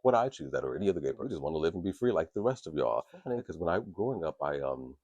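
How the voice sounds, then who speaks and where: A man with an extremely low, deep voice that carries a husky, grainy edge. He talks frankly into a podcast microphone, rambling and restarting, and swears freely. The sound is close and dry, with no room around it. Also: sing-song, rapid-fire, darkish tone, dry recording. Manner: candid, profane and rambling, with many restarts. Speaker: podcast host. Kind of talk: casual podcast talk.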